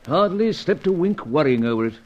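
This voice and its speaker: An actor playing a genial, urbane gentleman spy chief in an old-time radio serial, with old-fashioned upper-class phrasing. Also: fairly high pitch, lively intonation, fairly quick, dull audio, natural voice. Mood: genial, chatty.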